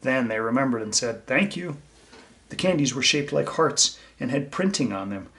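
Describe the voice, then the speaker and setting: A man's voice: medium pitch, lively intonation, moderate pace, fairly bright tone. A man reading a children's story aloud and talking to young listeners in a simple, explanatory way. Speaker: teacher reading a picture book aloud to children.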